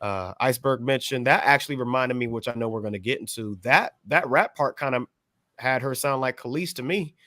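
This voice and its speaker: An American man on a music podcast panel, giving a casual review in a measured, analytical, wordy manner. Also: medium pitch, bright, forceful tone, no room sound, clean studio sound.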